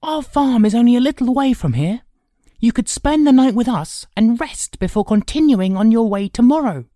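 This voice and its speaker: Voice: mid-range voice, animated, moderate pace, warm tone, dry recording, clean studio sound, voice pushed up, natural voice. A performer voicing an earnest, polite, kind-hearted young boy hero in a storybook. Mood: earnest, concerned. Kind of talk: audiobook character acting.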